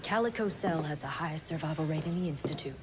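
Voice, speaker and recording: Woman acting composed, authoritative doctor, low-key pitch. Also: noisy background, close to an everyday voice. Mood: firm, assured. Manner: measured, formal, assured. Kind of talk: video game cutscene acting. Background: music.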